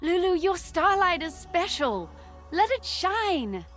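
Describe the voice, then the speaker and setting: Magical-girl game heroine, very high voice, unhurried, gentle, encouraging, light music behind. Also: sing-song, exaggerated voice. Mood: gentle encouragement. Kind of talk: video game character voice line.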